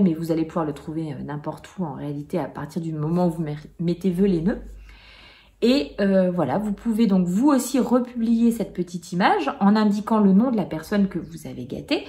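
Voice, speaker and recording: A woman's voice: medium pitch, brisk, mellow. A woman in her forties chatting casually to camera about knitting at home, hesitant, with many fillers and small laughs. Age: 40s. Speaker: knitting vlogger.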